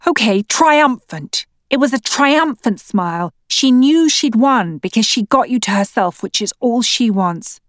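A woman's voice: fairly high pitch, expressive, unhurried, few pauses, no echo, studio quality, voice pushed up. Woman playing an indignant stepmother. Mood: insistent. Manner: sharp, indignant, insistent. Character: furious defensive stepmother in a family fight.

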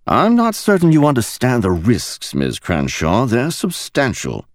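A man's voice: medium pitch, wildly swooping pitch, measured pace, dry recording, studio quality, pitched above the performer's own voice. A man voicing a young English naval captain who speaks in a starchy, formal, proper manner. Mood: stern, proper.

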